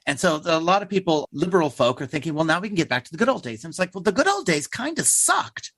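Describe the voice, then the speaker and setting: Animated higher-pitched man, wry and emphatic. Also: fast talker, no echo, clean studio sound. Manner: emphatic, wry, acts out imagined quotes. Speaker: theater company playwright being interviewed. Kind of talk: animated conversational interview answers.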